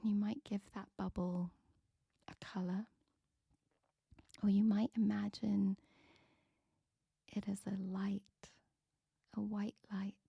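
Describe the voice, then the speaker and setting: A woman softly whispering a guided meditation with slow, soothing breath counts. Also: mid-range voice, level tone, gravelly, slightly rough, no echo, highs cut off.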